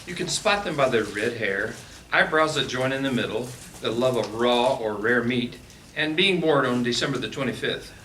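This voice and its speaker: A man's voice: medium pitch, expressive, moderate pace, bright, forceful tone, slight background noise. A man acting in a live radio play as a pedantic scholar who lectures wordily, heard from a distant mic on an echoey stage. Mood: lecturing. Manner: pedantic, wordy. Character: scholarly male expert, pedantic lecturer of lore.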